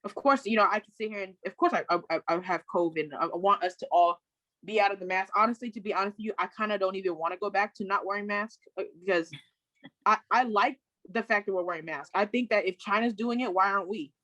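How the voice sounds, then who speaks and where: A panelist woman talks in a forceful, emphatic way and keeps restarting her sentences mid-thought. Her voice sits at an everyday pitch. The room sounds dry and close. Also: quick pace, no room sound. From Sacramento, California. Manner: emphatic, outspoken, restarts often. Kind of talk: casual panel discussion.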